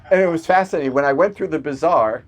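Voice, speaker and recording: A man's voice: on the high side, quick pace. A man, a physician, chatting casually over a video call, telling stories with lots of fillers and laughs. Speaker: physician guest. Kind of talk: casual remote podcast conversation.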